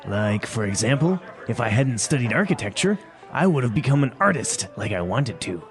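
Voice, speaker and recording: A man's voice: medium pitch, sing-song, normal speed. A man playing a wistful man musing on the past. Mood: reflective. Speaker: dialogue voice actor. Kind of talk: scripted dialogue for learners. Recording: music and crowd noise behind.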